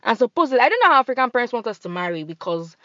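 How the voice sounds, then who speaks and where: A young woman with a Nigerian accent chats animatedly as a podcast host, her voice sitting a little high. The recording is dry and close, with a dull, lo-fi sound. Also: rapid-fire, dry recording. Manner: chatty, animated, laughs and switches into pidgin. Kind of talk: casual chat.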